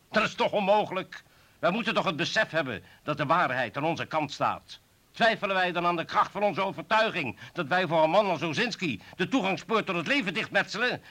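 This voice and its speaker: An actor playing an earnest, principled comrade and former political prisoner in a radio play, speaking persuasively. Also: male voice, fairly high pitch, bright, forceful tone. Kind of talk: Dutch radio play acting.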